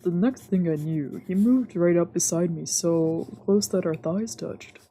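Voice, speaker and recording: A woman's voice: lowish voice, a little slow, slight background noise. A woman streamer reading visual novel narration aloud for a let's play. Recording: soft game music behind.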